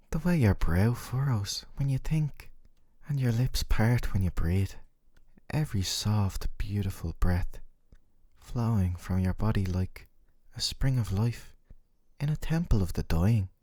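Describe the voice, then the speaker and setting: Gentle yandere dating-sim boyfriend, played by a male actor: low-pitched, clingy and possessive, intimate ASMR delivery, very dry close sound. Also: expressive, measured pace, slightly rough, no echo. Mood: yearning, tender. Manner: tender, coaxing, clingy.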